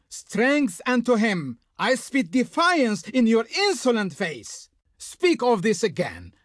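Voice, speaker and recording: Snooty pharaoh's envoy for a strategy game, super dramatic n insulting, voice swings all over, no room echo. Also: male voice, extremely high pitch, fairly bright tone, studio quality, exaggerated voice. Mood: scornful, threatening. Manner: pompous, insulting, imperious. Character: haughty, scornful male Egyptian envoy of the Pharaoh. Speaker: diplomat character in a strategy game. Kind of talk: theatrical scripted game voice lines.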